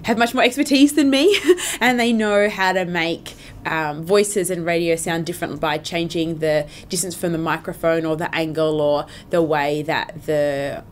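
A woman's voice: low voice, normal speed, slight background noise. A woman talking casually in an interview as an amateur radio actor.

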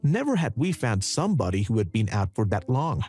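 A man narrating a dramatic true story in a scripted voice-over. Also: a little fast. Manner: dramatic storytelling with animated pitch. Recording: narration over background music.

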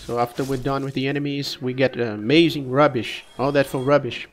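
A man with a cold casually commenting on his own gameplay, relaxed and halting, coughing now and then. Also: medium pitch. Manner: relaxed, halting, frequent restarts and fillers. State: sick, coughing.

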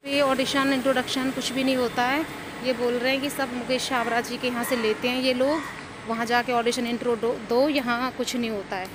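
A woman's voice: a little high, little pitch movement, normal speed. A young woman chatting casually as she vlogs while travelling around the city, filmed on a handheld phone. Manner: chatty, narrating on the go. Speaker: vlogger. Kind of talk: casual vlog commentary. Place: outdoors on busy city streets and in an auto-rickshaw. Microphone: handheld phone camera. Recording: loud traffic noise outdoors. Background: traffic and street noise.

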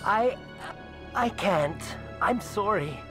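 Animated, paranoid alien worrier. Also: male voice, sits high, slow, music in the background. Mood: anxious. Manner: hesitant, anxious, insistent. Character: nervous, paranoid alien complainant pleading for help. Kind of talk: video game character dialogue.

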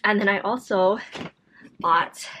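A woman's voice: medium pitch, takes it slowly, pushed and bright, a little hiss or hum, rough recording. A tired young woman vlogging casually to camera from her home office, chatty and rambling with many fillers. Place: home office room, indoors.

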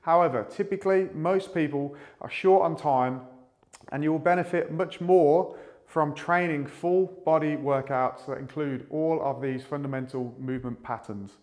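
A man in his thirties speaking as a fitness coach, explaining in a measured, methodical way to camera. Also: fairly high pitch, darkish tone. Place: small indoor room with a whiteboard.

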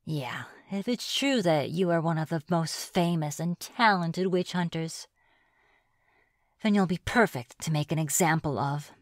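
A woman's voice: low voice, animated, dry recording, studio quality. A woman playing a reclusive, flirtatious witch in an ASMR fantasy roleplay, with a playful, mocking manner. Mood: smug, menacing. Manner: playful, mocking, drawn-out taunting. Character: reclusive, teasing, flirtatious witch of the deep woods.

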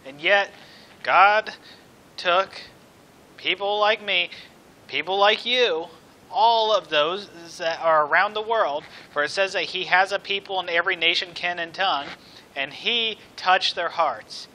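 Male preacher, slightly high voice, slow and emphatic delivery from the pulpit. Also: bright, forceful tone, rough recording. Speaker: preacher, church elder. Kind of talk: sermon. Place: indoors at a church pulpit.

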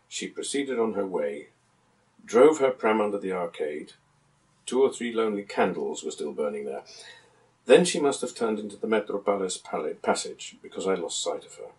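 Man in his seventies, lowish pitch, measured literary reading, recorded from across a room. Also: a little mellow. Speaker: reader of a book passage. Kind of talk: literary reading aloud from a book. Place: small book-lined room at home, indoors. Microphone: camera a few metres away. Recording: distant camera microphone in a room.

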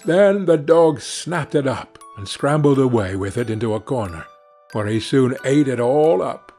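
A man narrating a fairy tale as a scripted audiobook, in a measured, expressive storytelling manner. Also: medium pitch, soft background music.

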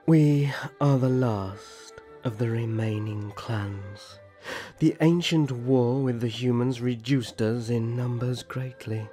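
Man playing a gentle, slow-spoken elf prince. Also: mid-range voice, soft background music, natural voice. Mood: gentle, wistful. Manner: formal, gracious, measured storytelling. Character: gentle, courtly young elf prince, welcoming and protective. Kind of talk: roleplay audio drama.

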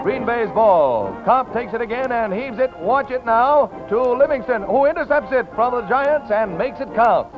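A man's voice: very high voice, expressive, normal speed, few pauses. An American man giving punchy, rousing 1940s newsreel narration and commentary. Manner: rousing, punchy announcer delivery. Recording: dull lo-fi 1940s newsreel soundtrack. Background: loud music.

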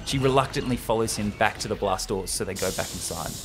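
A man's voice: fairly low pitch, fast talker, music in the background. A young man hosting a podcast, retelling a film script casually and with slangy enthusiasm, close to the microphone. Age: young adult. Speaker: podcast host. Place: small room indoors.

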